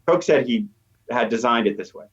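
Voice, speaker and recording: A man's voice: mid-range voice, lively intonation, normal speed. A man speaking as an expert guest, explaining conversationally and thinking aloud as he goes. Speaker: expert guest, media professor. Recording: slightly dull, lo-fi line.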